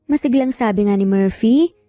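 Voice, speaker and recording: A Filipino woman reads a dramatic story as its narrator. Her voice is fairly high and soft-edged, recorded close and dry over faint background music. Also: normal speed, no room sound.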